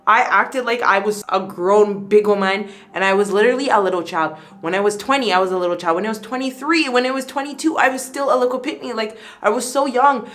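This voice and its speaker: A young woman in her late twenties talks through her skincare routine in a loose, rambling vlog chat. Her pitch sits in the middle of the range. She fills her sentences with "like" and jumps up in pitch when something excites her. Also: fairly quick, hardly any pauses. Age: 20s. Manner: chatty, rambling, many "like" fillers. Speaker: beauty vlogger. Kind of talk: casual chat while doing skincare.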